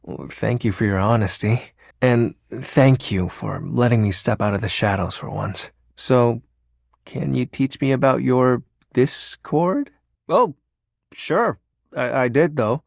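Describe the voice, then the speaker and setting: A man's voice: mid-range voice, slow pace, gravelly, dry recording, studio quality. An actor voicing a shy, sentimental, lonely young male shadow in a video game, speaking hesitantly and earnestly. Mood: shy, confessing. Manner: hesitant, soft-spoken, earnest. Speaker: datable game character. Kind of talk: video game character voice acting.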